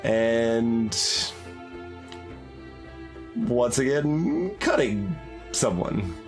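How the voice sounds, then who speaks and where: Slow, hesitant gamer man musing aloud. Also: medium pitch, animated, creaky. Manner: musing, hesitant, many fillers and restarts. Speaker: gaming YouTuber. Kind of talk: live commentary on a game. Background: soft game music.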